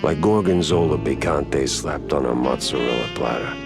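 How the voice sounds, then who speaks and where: Very deep-voiced weary noir detective. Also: male voice, normal speed, creaky, over music. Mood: wistful, brooding. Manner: deadpan, world-weary. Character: weary, wisecracking hardboiled mouse private detective. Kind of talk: noir video game acting and narration.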